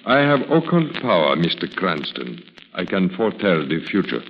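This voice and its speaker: Sinister male mystic, very low and formally courteous; old radio thriller, muffled and reverberant. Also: very animated, moderate pace, slight background noise. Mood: suave, guarded. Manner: formal, smooth-spoken, commanding. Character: sinister suave male fortune teller, menacing and falsely courteous. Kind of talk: old-time radio drama acting.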